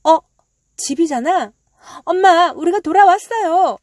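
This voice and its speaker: Casting: spirited storybook girl played by a woman, childlike, earnest, raised pitch, emphatic. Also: expressive, a little slow, dry recording, studio quality. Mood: excited.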